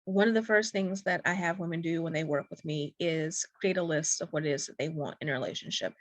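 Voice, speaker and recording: A soft-spoken young woman talks straight to the listener as a dating coach, gentle and encouraging. Her voice sits a little low for a woman. The recording is close and dry, with no room sound. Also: steady tone, fairly quick, dry recording. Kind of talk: direct-to-camera coaching talk.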